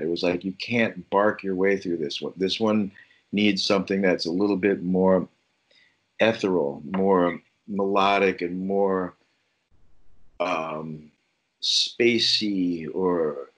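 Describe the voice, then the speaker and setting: A man, a musician talking casually in an interview, rambling with many restarts and lots of swearing. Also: deep voice. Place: at home during lockdown.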